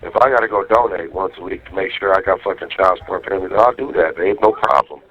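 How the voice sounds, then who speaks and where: A low male voice comes through a dull, lo-fi phone line. He chats casually and slangily, with a coaxing, rough-worded way of putting things. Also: fast talker. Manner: slangy, profane, cajoling. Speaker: jail inmate making a phone call. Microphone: telephone.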